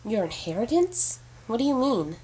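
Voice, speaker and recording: Husky, skeptical, stressed heroine played by a woman. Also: a little high, sing-song, normal speed, dark tone, full character voice, poor audio. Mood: challenging, questioning. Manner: incredulous, combative, sarcastic. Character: stressed, skeptical, defiant woman heir confronting a sorcerer. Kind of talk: audio drama acting.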